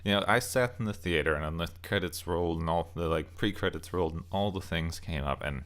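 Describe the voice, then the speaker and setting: Deep-voiced man giving rambling commentary. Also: brisk, fairly bright tone, smooth. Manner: rambling, opinionated, stresses key words. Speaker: video essayist and game reviewer. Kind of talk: casual loosely scripted commentary.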